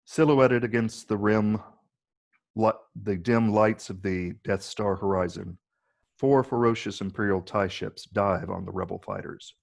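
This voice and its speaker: A man with a gravelly, husky voice reading screenplay stage directions as scripted narration. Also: fairly low pitch, fairly even intonation, measured pace.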